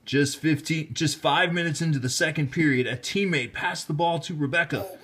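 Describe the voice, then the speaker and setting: A man reading a children's story aloud in an expressive, deliberate storytelling manner, with casual asides. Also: a little high, husky. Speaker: storybook reader, teacher. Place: indoors, filming the book held in hand.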